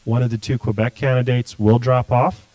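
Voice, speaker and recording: A man's voice: medium pitch, flat delivery, moderate pace, mellow, no echo, muffled recording. A middle-aged man, answering questions as a political candidate in a blunt, forthright way. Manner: blunt, forthright, restarts often. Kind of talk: livestream interview answers.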